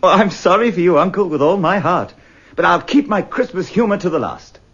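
Man voicing a merry young nephew, high bouncy voice, husky edge, muffled lo-fi sound. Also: very animated, a little fast, fairly bright tone, exaggerated voice. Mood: cheerful. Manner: merry, pleading, laughing. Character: cheerful young male nephew, warm-hearted. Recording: muffled lo-fi recording.

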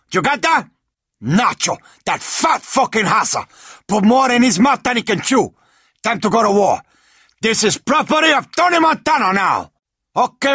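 An actor playing a hot-tempered, vengeful gangster drug lord in a video game, with a Cuban accent and a raspy voice, throwing profane, threatening taunts. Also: male voice, extremely high pitch, pushed and bright, no echo, clean studio sound, exaggerated voice. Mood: defiant, menacing.

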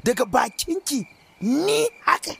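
A touchy, boastful village elder. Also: male voice, very high-pitched, unhurried, gravelly, slight background noise, exaggerated voice. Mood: defensive. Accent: Northern Nigerian. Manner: animated, exclamatory, pleading. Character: boastful, touchy village elder man quick to take offence. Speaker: radio drama actor. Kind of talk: radio drama acting. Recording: busy market crowd behind. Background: market crowd chatter.

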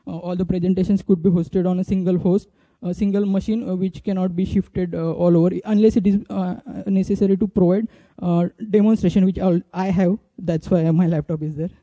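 A man in his thirties with an Indian accent giving an informal demo talk as a conference speaker, haltingly, into a handheld microphone. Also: high-pitched, steady tone, dark tone, dry recording. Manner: halting, hesitant delivery. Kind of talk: informal flash talk with live app demo. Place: indoor conference stage. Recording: dull, muffled stage recording.

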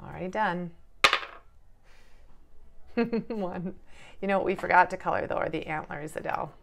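A woman's voice: lowish voice, normal speed, vocal fry. A woman hosting a casual children's lesson as a preschool teacher, talking encouragingly and with exclamations over a tabletop game. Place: indoors at a tabletop.

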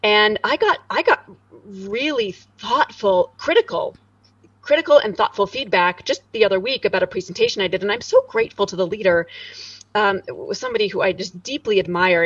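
A woman in her forties speaking in an energetic, emphatic way as an interview guest over a video call. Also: vocal fry, dry recording, distant mic, muffled recording. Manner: animated, emphatic, swoops high and low. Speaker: guest, corporate director. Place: home room, indoors. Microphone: studio mic on boom arm, video call.

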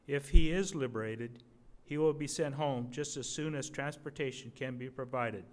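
An older man reading aloud at a podium as an earnest, steady soldier writing letters home in wartime. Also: medium pitch, moderate pace, close to an everyday voice. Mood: hopeful. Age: 60s or older. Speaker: readers theater reader. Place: indoor museum gallery at a podium. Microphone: podium microphone.

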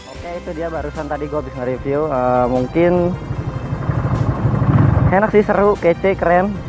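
A man's voice: on the high side, moderate pace, over loud music. A young man, chatting casually while riding a motorcycle through city streets at night. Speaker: motovlogger. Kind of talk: casual slangy motovlog chat.

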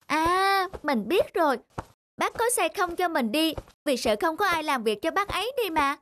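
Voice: very high-pitched, animated, normal speed, fairly bright tone, a little hiss or hum. An actor voicing a naive, restless, childlike little windmill fan in a cartoon, earnest and childish. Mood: indignant, scheming. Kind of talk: cartoon acting.

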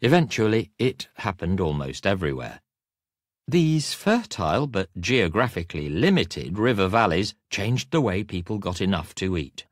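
A dry, close studio recording of a man narrating a nonfiction audiobook. His voice sits fairly low and moves with lively, animated intonation. He phrases deliberately, giving weight to key words. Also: no room sound.

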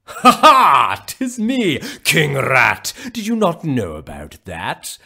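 A man playing a gloating rat king villain in a radio pantomime, with sly, theatrical taunts and drawn-out words. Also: a little high, wildly swooping pitch, bright, forceful tone, slightly rough, full character voice. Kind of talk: pantomime radio play acting.

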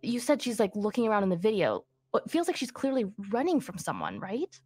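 A woman's voice: mid-range voice, wildly swooping pitch, brisk, a little mellow, husky, dry recording. A woman co-hosting a true crime podcast, reacting and asking questions. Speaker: podcast co-host.

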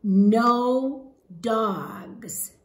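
A woman voicing a firm, skeptical mother from a storybook, with emphatic, measured delivery. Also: a little high, lively intonation. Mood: firm refusal. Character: boy's mother, firm and skeptical about pets. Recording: roomy home echo.

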